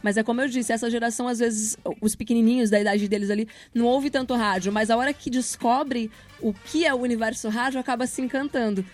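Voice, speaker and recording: A woman's voice: a little high, fairly even intonation, fast, few pauses. Brazilian woman chatting over light music. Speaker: interviewed guest, journalist. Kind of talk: casual interview talk.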